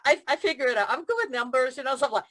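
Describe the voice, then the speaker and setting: A woman from Hong Kong, a feng shui consultant chatting as a guest over a video call, telling stories with animated quoted voices and frequent laughter. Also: high voice, rapid-fire. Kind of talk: casual interview chat.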